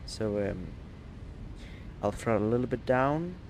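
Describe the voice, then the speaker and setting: Slow, hesitant man. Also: mid-range voice, animated, darkish tone, slight background noise. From Denmark. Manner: rambling, hesitant, many fillers. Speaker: flight sim streamer. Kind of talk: live stream gameplay chat.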